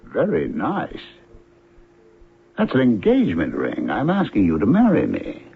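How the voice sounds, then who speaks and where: A man's voice: on the low side, wildly swooping pitch, a little slow, creaky, narrow, tinny audio, full character voice. An American actor playing an impatient, lovestruck scientist in old-time radio drama, pushy and insistent in his delivery. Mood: goading, excited. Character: impatient, lovestruck male scientist, demanding and frustrated suitor. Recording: band-limited radio drama recording with music.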